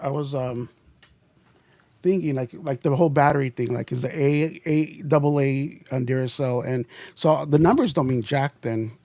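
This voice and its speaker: A man's voice: mid-range voice, normal speed, a little mellow, no room sound. Teasing man with a dry, close voice. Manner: joking, teasing, asks questions. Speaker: podcast co-host. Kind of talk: casual chat.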